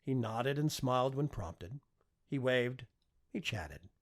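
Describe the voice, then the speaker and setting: A man narrating a fiction audiobook with expressive, scripted storytelling delivery. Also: medium pitch, takes it slowly.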